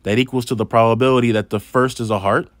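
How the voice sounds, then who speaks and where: A man's voice: medium pitch, steady tone, quick pace, no echo. A man with a slight lisp teaching a math lesson over a tablet screen, explaining patiently step by step. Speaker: math instructor.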